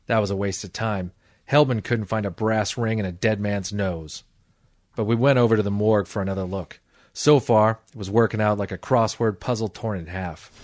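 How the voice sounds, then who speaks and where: Low-voiced hardboiled radio detective, played by a man. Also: no echo, clean studio sound, natural voice. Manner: deadpan, sardonic. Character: wisecracking hardboiled private detective, world-weary man. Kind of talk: old-time radio detective drama acting and narration.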